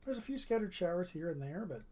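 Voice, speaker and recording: A weather livestreamer talks steadily through live radar maps. The voice is strained and keeps breaking off to clear the throat. It sits at an ordinary middle pitch. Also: very animated, fast, mellow.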